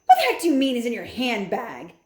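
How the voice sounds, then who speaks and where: A man's voice: very high voice, huge pitch swings, quick pace, reverberant, pitched above the performer's own voice, heavily acted. Blustering pompous husband, read by a woman. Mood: scornful. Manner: shouting, indignant. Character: blustering middle-aged man, rude and pompous.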